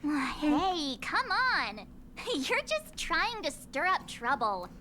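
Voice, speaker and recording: A woman's voice: high voice, huge pitch swings, drawn-out words, pushed and bright, full character voice. A woman voicing a perky, girlish young crewmate in a video game, chatty and loyal. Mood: playful. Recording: music behind voice.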